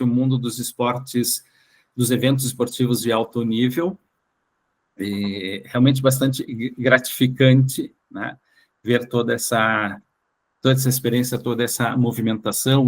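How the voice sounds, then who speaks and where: A Brazilian man speaking as an interview guest, recounting personal experiences haltingly and often rephrasing his words. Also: mid-range voice.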